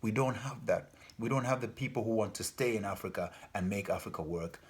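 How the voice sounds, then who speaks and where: Earnest lowish-voiced man making an appeal. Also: a little fast. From Denmark. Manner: earnest, repetitive, loses his thread with fillers. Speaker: festival organizer. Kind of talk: informal spoken appeal.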